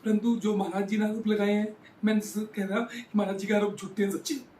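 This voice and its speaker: A man's voice: high-pitched, little pitch movement, fast, mellow, slight background noise. A man speaks as a political interviewee. He drives his points home with emphatic repetition. The sound is roomy and echoing. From Haryana, India. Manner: emphatic, repeats phrases for rhetorical effect. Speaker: former local chairman being interviewed.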